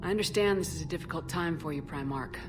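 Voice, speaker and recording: A determined starship commander in a sci-fi game, voiced by a woman. Her voice is very low, controlled and direct, with music clearly behind it. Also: quick pace, close to an everyday voice. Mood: sympathetic, sober. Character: steady, determined human female starship commander. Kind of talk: scripted game dialogue.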